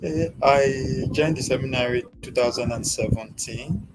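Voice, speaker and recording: A young man from Nigeria with a Nigerian accent, a guest telling his life story in a soft, hesitant way with many pauses. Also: medium pitch, background noise. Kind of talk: personal story in an interview.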